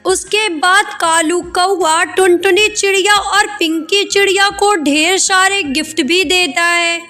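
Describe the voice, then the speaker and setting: A woman's voice: very high voice, fairly even intonation, unhurried, over music. A woman narrating a scripted children's cartoon story.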